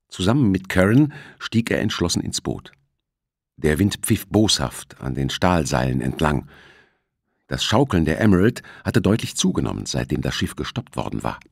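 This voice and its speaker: A man with a low-pitched voice reads a scripted thriller audiobook. His delivery is measured and dramatic, in a dry, close sound. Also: no room sound. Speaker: audiobook narrator.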